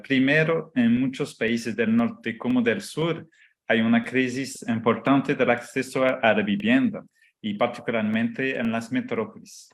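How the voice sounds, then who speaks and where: Slow, halting man giving an online lecture. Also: medium pitch. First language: Portuguese. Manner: slow and halting, many "eh" fillers. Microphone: computer mic on a video call.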